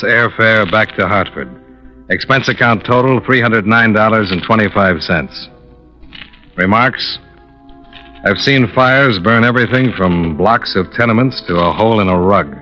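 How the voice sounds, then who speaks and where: A man's voice: on the low side, bright, forceful tone. An actor playing a sober, hardboiled male insurance investigator in a 1950s radio detective drama, clipped and matter-of-fact. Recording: dull old radio recording.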